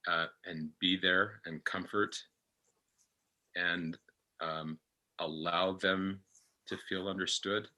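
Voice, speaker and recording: A man with a very deep voice and a strikingly bright, forceful edge speaks slowly as a reflective guest. He is halting, repeats phrases and searches for words, and his pitch stays very even. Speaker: podcast guest. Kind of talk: reflective personal discussion.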